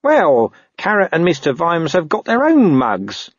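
Put-upon corporal for comic fantasy audiobook, man acting, high anxious wail, very animated, always trying to soothe. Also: unhurried, breathy edge, dry recording, lo-fi sound, full character voice. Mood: nervous. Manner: high-pitched, flustered, wailing.

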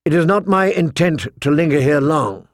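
A man's voice: fairly high pitch, lively intonation, normal speed, no room sound, studio quality. A man voicing a young lord at the head of an army, formal and decisive, in a fantasy audiobook. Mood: firm. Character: young lord leading an army, firm, commanding.

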